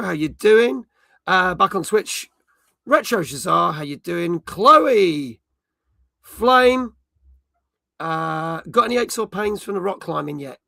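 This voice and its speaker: A middle-aged man with a croaky voice, hosting a casual live stream and chatting with viewers in cheeky banter. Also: high-pitched, sharp tone, dry recording. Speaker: livestream host. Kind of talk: casual live-stream chat, reading chat comments.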